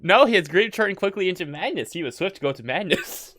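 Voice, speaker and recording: A woman's voice: lowish voice, expressive, a little fast, a bit bright, no room sound, studio quality. A woman hosting a true crime podcast, telling the story in a casual chat, giggling often and stumbling over her words.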